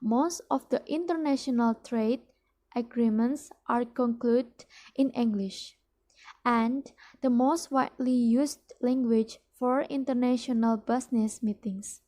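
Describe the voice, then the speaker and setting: Slow, high-voiced young Indonesian woman. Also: fairly even intonation, warm tone. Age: young adult. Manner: careful, with frequent restarts and repeated words. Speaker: student presenting a mock business ad. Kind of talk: read-aloud promotional speech.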